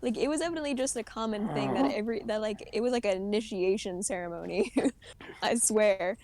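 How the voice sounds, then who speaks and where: A young woman streamer with a high voice, chatting casually and rambling, often giggling and restarting her sentences. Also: brisk, noisy background. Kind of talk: casual gaming chat.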